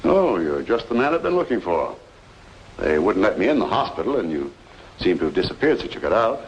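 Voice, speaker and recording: An actor playing a soothing, persuasive older friend with a gravelly voice in an old radio drama, uneasy underneath. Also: male voice, sits low, big pitch swings, brisk. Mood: coaxing. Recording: dull, noisy old radio recording.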